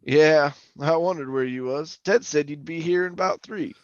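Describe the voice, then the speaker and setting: An actor playing a garrulous middle-aged former oil-rig roughneck, telling his tale in a rambling, folksy radio drama manner. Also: fairly high pitch, moderate pace, no echo, lo-fi sound, natural voice. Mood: friendly, easygoing. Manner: rambling, folksy, colloquial storytelling. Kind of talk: old-time radio drama monologue and dialogue.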